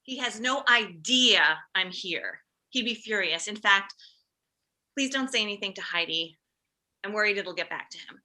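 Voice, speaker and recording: A woman's voice: mid-range voice, expressive, moderate pace, bright, forceful tone. A woman playing an anxious, overprotective middle-aged mother with a combative streak, in a comedy script read. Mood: combative, indignant.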